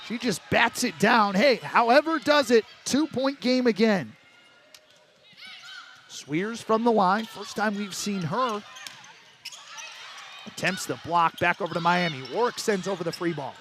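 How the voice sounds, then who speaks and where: A man's voice: sits high, expressive, measured pace, long pauses, noisy background. A man doing live sports play-by-play as a commentator, over the sound of the venue.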